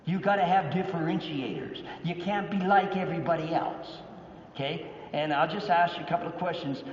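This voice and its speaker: A man with a Canadian accent addresses an expo crowd in a playful, teasing way, and his voice sits a little high. The sound is dull, as if caught from far off in a big echoing hall. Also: brisk, a little hiss or hum. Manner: playful, teasing audience interaction. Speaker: business speaker. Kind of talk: live motivational talk. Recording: echoey hall, distant mic, dull sound.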